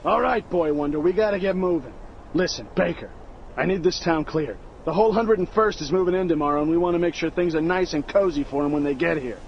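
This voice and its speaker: An actor playing a tough, no-nonsense American paratrooper sergeant, giving orders in a gravelly, blunt, commanding way. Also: male voice, a little high, quick pace, lo-fi sound, a little hiss or hum. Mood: exasperated, commanding.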